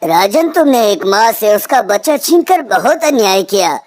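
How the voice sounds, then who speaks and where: A woman's voice: medium pitch, big pitch swings, normal speed, darkish tone. A woman voicing a grave old hermit sage in a cartoon, speaking in a solemn, stately way. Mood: solemn, counselling. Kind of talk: cartoon character acting.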